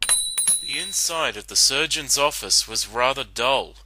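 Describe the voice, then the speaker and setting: A man narrates a story as a scripted dramatic reading. His voice sits at a medium male pitch and is very bright and forward, recorded dry with no room sound. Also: animated, measured pace, no room sound.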